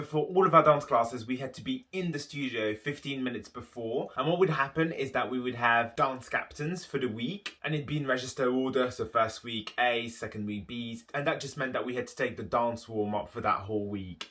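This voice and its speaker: A man's voice: mid-range voice, sharp tone. A young man with a London accent, chatting casually to camera as a video host, enthusiastic and explaining things in long run-on stretches. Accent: London English. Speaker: YouTube host.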